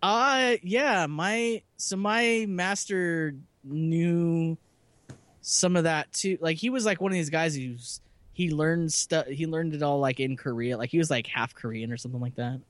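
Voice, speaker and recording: A man's voice: a little high, measured pace, fairly bright tone, no echo. A man co-hosting a casual podcast chat, rambling and self-deprecating, laughing often. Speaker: podcast co-host.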